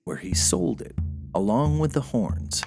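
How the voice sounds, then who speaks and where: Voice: mid-range voice, sing-song, unhurried, gravelly, a little mellow, husky. A storyteller giving a dramatic scripted narration of a folktale, over music.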